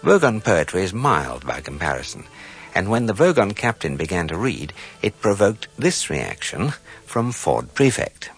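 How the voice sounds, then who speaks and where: A man's voice: sits low, normal speed, breathy edge, faint music behind, full character voice. A man playing a dry, erudite guidebook narrator in a radio comedy, with an English accent. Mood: deadpan. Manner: deadpan, erudite.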